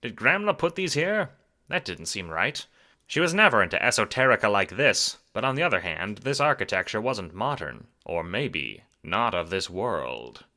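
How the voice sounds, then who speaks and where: A man's voice: fairly low pitch, big pitch swings, moderate pace, bright, forceful tone, exaggerated voice. A man voicing a blustering older mustached adventurer, incredulous and scornful, in an audio drama. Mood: scoffing.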